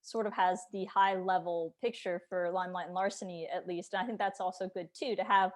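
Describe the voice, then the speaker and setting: A woman's voice: on the low side, fairly even intonation, brisk. A woman hosting a casual interview, speaking in an agreeable, hedging, conversational way.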